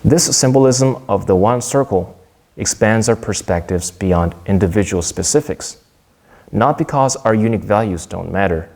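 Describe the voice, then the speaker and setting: A young man from Korea giving a prepared Dharma talk in a measured, earnest way, with a few small restarts. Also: fairly low pitch, a little mellow.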